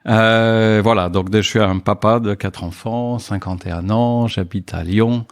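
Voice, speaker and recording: A man's voice: lowish voice, fairly even intonation, unhurried, dry recording, studio quality. A man in his early fifties from Paris, speaking as a guest in a podcast interview, with many fillers and restarts. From Paris, France. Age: 50s.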